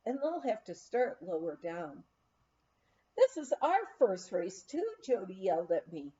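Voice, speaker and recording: A woman reading a memoir aloud in an expressive, measured storytelling manner. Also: mid-range voice. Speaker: audiobook reader. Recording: dull, lo-fi sound.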